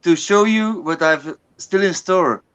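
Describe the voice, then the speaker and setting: Word-searching man, higher pitch. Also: unhurried, fairly bright tone, smooth. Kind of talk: casual chat on a video call.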